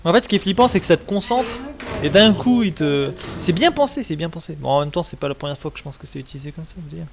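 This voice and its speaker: A creaky-voiced man streams video games with casual commentary. His voice sits a little high for a man and moves around a lot, in informal, slangy, mildly sweary talk. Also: animated, quick pace, background noise. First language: French.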